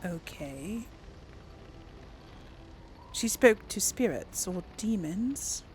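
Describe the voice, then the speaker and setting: A woman's voice: medium pitch, expressive, very slow, slight background noise. A woman hosting a casual role-play let's play, speaking in a hesitant, thoughtful way. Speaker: gaming video host and role-player.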